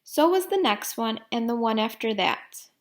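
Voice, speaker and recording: A woman reading a children's storybook aloud like a teacher, deliberately, putting questions to young listeners. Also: fairly high pitch, lively intonation.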